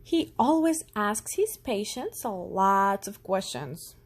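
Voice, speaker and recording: A woman's voice: medium pitch, very animated, takes it slowly, exaggerated voice. A woman voicing a caring little girl who plays doctor in a storybook, earnest and inquisitive. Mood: eager, proud. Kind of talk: storybook character voice.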